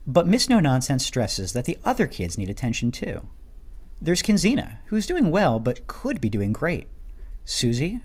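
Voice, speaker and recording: A man's voice: fairly high pitch, darkish tone. A man reading a scripted explainer narration in a playful storytelling way, with emphatic stresses. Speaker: narrator of a teacher training video.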